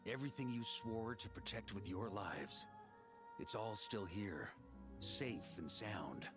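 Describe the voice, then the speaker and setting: A man voicing a seasoned, self-assured knight commander in a video game, speaking in a relaxed, wry and measured way. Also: fairly low pitch, music in the background. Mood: calm.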